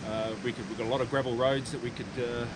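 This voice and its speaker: A man from New Zealand with a New Zealand accent, chatting outdoors as a guest in a relaxed, unhurried way with hesitant fillers. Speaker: guest, route mapper. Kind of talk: casual chat. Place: outdoors by the roadside. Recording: outdoor noise with vehicle rumble.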